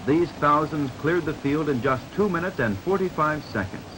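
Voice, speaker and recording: A man's voice: on the high side, animated, normal speed, background noise. An American man reading scripted narration for a 1950s newsreel. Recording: 1950s newsreel soundtrack with music behind. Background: background music.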